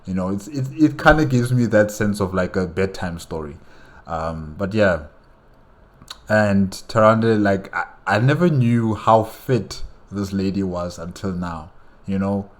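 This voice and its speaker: A man giving casual, halting reaction commentary, rambling and repeating phrases as he goes. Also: deep voice. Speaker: reaction video host.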